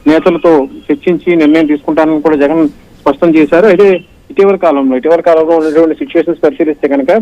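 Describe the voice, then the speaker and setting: A male correspondent, giving a live news report in a rapid, flat run with many "aa" fillers. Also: on the high side, dry recording. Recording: dry, dull radio-like line.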